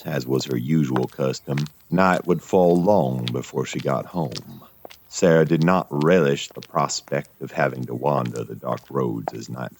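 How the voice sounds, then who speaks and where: A man narrating a scripted gothic horror story in a measured, literary and dramatic way. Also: a bass voice, gravelly, darkish tone, slight background noise.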